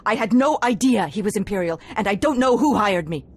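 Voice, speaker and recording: A woman's voice: medium pitch, expressive, a little fast, lightly acted. A woman playing a blunt, defiant mercenary assassin in a video game. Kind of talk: video game cutscene acting.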